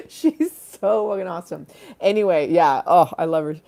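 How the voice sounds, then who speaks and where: A woman's voice: fairly low pitch, very animated, normal speed, mellow. A woman hosting a rambling comic live stream, quirky, giggly and bawdy, theatrically drifting into asides. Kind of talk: rambling comic livestream chat.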